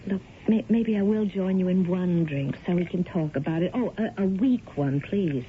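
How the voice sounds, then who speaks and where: An actress playing an anxious, devoted middle-aged wife in a 1970s radio drama, earnest, coaxing and insistent. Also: female voice, fairly low pitch, normal speed, close to an everyday voice. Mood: tentative, coaxing. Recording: thin phone-like, hissy 1970s radio recording.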